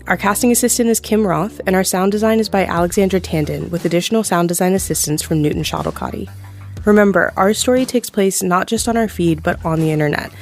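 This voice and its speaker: A woman's voice: mid-range voice, quick pace, a little mellow, faint music behind. A woman announcer giving a scripted read of end credits for an audio drama podcast. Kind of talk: scripted narration.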